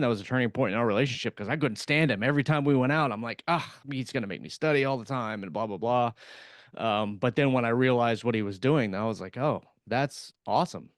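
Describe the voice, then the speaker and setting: A man talks through an old memory on a podcast, stopping and restarting his sentences often with fillers. His voice sits at an ordinary middle pitch. The sound is dry and close, with no room around it. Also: clean tone, no echo. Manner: halting, many fillers and restarts. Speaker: podcast co-host. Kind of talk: casual storytelling.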